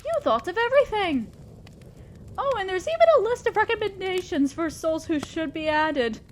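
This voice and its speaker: Woman playing a high-voiced, commanding female demon lord. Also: big pitch swings, a little hiss or hum. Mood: appreciative, hesitant. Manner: measured, formal, addressing an underling directly. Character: commanding female demon lord, fair-minded, ambitious, slyly teasing boss. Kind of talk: scripted roleplay audio drama.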